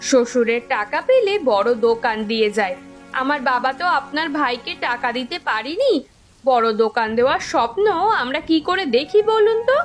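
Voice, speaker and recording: Woman doing a stubborn young village wife in a cartoon, highish voice, pushy and defiant. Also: expressive, moderate pace, faint music behind. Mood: indignant. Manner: insistent, defiant. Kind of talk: Bengali village cartoon dubbing.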